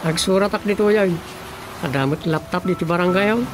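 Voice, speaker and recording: An actor playing a grumbling, reluctant village office worker in a comic radio drama, colloquial, with an Ilocano accent. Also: male voice, high voice, fairly quick, music in the background, lightly acted. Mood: matter-of-fact.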